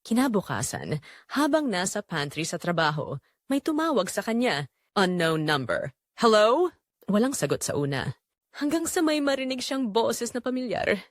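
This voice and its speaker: A woman's voice: mid-range voice, big pitch swings, moderate pace, no room sound, studio quality. A woman with a Filipino accent narrating a scripted drama story in a dramatic style.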